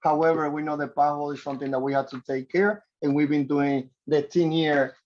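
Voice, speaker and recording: A man from the Republic, a transportation official giving earnest, halting testimony at a council hearing. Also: fairly high pitch, fairly even intonation, a little mellow, dull audio. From Dominican Republic. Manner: earnest, halting, repeats words.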